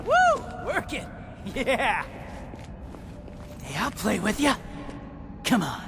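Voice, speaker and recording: A man's voice: high-pitched, huge pitch swings, drawn-out words, vocal fry, full character voice. A man voicing a cocky, playful young demon hunter with brash, teasing, theatrical taunts in a video game. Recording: music over game audio.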